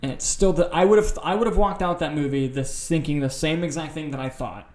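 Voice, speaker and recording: A man's voice: fairly high pitch, brisk, a little mellow. A man co-hosting a podcast, chatting casually about film news in an opinionated, sweary, informal way. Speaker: podcast co-host.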